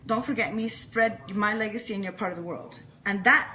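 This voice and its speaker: A woman's voice: mid-range voice, fairly quick, bright, forceful tone. A woman speaking as an interview guest, telling a personal story in an earnest, emphatic way with repeated phrases. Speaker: interview guest, fan club founder.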